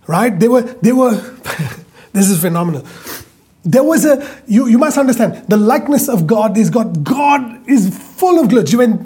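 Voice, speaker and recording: A man preaching a sermon, emphatic, repeating phrases and drawing out key words slowly. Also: high-pitched, dark tone, husky.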